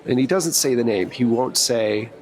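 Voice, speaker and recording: A man's voice: mid-range voice, normal speed, a little mellow. A man working as game master, narrating a tabletop role-playing game in an informal, conversational way.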